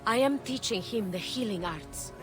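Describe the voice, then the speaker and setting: A woman playing a composed, gentle healer of the ancient world, speaking in a measured, earnest way in a video game. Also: on the high side, lively intonation, over music, lightly acted, poor audio. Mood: concerned, earnest. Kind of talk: video game cutscene acting.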